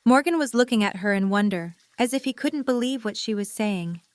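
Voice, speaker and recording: A woman's voice: a little high, expressive, a little fast, no echo. A woman narrating a story, reading scripted third-person prose as the narrator of an audio drama.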